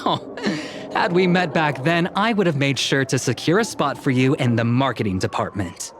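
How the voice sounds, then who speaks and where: A man's voice: mid-range voice, animated, moderate pace, heavy background noise, lightly acted. A man playing a suave, playful, calculating young businessman in a video game, speaking with polished, theatrical eloquence. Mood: amused, flattering. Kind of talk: dubbed anime game dialogue.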